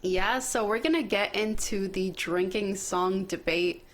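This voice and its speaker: A woman's voice: mid-range voice, unhurried. A woman hosting a podcast in a casual, conversational chat-show style. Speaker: podcast host.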